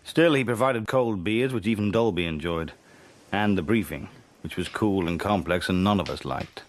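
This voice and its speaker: An actor playing a laconic, insubordinate British spy in a radio drama, speaking with dry irony and a British accent. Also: male voice, deep voice, moderate pace, natural voice. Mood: wry narration. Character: wry, insubordinate British spy narrating his own story.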